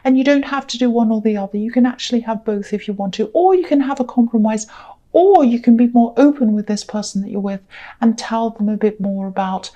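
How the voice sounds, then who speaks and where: Hoarse, croaky woman reading tarot. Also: a little high, a little fast, dark tone. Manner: rambling, reassuring, many ums and restarts. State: hoarse, voice going. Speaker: tarot reader. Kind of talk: casual spoken tarot reading.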